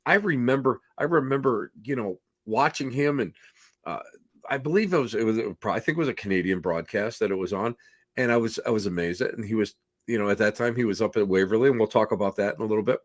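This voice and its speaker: Slightly hoarse man hosting casually. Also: on the low side, fast talker, no echo, clean studio sound. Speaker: host of a paranormal talk show. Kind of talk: casual rambling show introduction.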